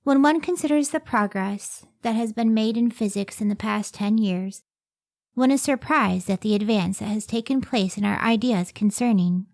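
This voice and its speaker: An actress playing an earnest, devoted woman physicist in an old-time radio drama, with measured, formal phrasing. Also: medium pitch, darkish tone, no echo, studio quality, close to an everyday voice. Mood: formal, lecturing.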